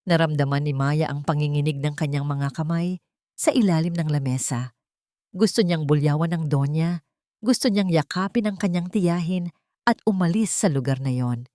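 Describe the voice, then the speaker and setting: A woman's voice: low voice, moderate pace, warm tone, dry recording, studio quality. A woman reading a dramatic romance story as a scripted narration.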